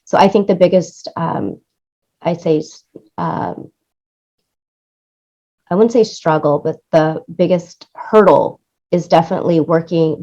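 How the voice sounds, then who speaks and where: Casting: female interview guest, lower register, conversational and demonstrative, slightly dull low-fidelity sound. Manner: animated explaining, acts out quoted lines, seeks agreement with "right?". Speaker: interview guest, music supervisor and audio producer.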